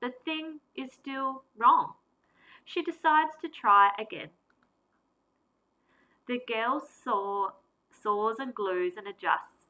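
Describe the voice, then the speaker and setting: Woman teacher for a storybook read-aloud, slightly high and bright, unhurried and expressive. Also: low-quality recording. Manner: expressive, deliberate storytelling with varied emphasis. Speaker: primary school teacher. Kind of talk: reading a picture book aloud.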